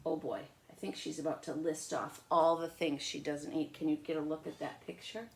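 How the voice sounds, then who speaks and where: A woman teacher doing a storytime read-aloud with chatty asides, expressive and speaking as if to children. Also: sits low, normal speed, vocal fry.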